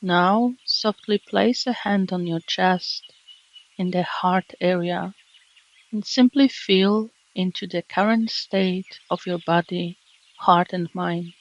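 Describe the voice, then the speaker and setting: A woman meditation teacher narrating a guided relaxation in a gentle, calming, measured way with long pauses. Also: on the low side, lo-fi sound. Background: birdsong and nature sounds.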